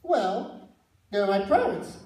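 A woman's voice: mid-range voice, very animated, slow, warm tone. A woman voicing a curious young boy puppet in a church puppet show, talking eagerly and asking questions, recorded from far off in an echoey hall. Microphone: far camera.

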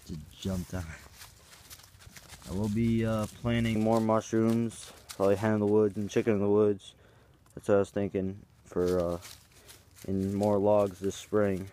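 Young man, farm vlogger, slow mellow delivery, loose and unsure, outdoor phone audio with faint noise. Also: on the low side. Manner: rambling, hesitant, unsure of plans. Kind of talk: casual walkaround tour. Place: outdoors on a farm yard and woods.

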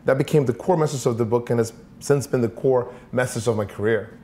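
Interview guest: man in his forties from Sweden, mid-pitched, thoughtful, repeats words while thinking, lapel mic in an office. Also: fairly quick, warm tone. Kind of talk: conversational interview answers. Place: office with large windows.

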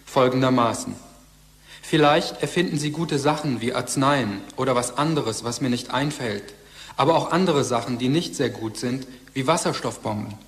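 An actor playing a detached, academic narrator, reading formally in a measured way. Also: male voice, mid-range voice, lightly acted. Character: sober male documentary-like narrator, detached and academic. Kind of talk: scripted narration.

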